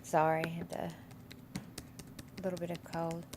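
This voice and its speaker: A woman's voice: on the low side, completely flat delivery, a little slow, noisy background. A woman instructor with a cold and a stuffy nose walks through a course week in a casual, rambling way, full of fillers and restarts. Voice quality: gravelly. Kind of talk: casual screen-share course walkthrough.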